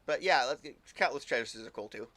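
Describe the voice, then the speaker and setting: A man chatting casually as he livestreams a game, halting and repeating his words, with mild exclamations. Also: mid-range voice, expressive, sharp tone, faint music behind. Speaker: game streamer. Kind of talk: casual livestream chat and commentary.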